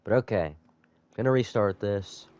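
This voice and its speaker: A man's voice: lowish voice, a little slow, a little mellow. A man sick with a cold, slightly nasal, rambling casually to viewers as he commentates a live game stream.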